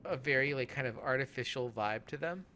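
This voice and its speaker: A man gives improvised, casual game commentary in a rambling, halting way, often restarting and trailing off. His voice sits at an average male pitch, with steady noise audible behind it. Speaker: let's play podcast host.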